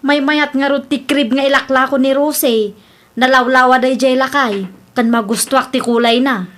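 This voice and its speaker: A woman with a Filipino Ilocano accent playing an excitable, stubborn expectant young mother in a radio comedy drama, insistent and complaining. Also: high-pitched, normal speed. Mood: eager, pleading. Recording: background music under the voice.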